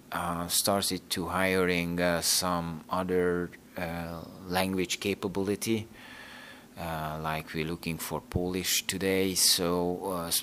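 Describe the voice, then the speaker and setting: A man's voice: low voice, fairly bright tone, a little hiss or hum. A man, an English speaker, answering interview questions hesitantly, with many fillers and restarts. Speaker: interview guest, team manager. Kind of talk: casual interview talk.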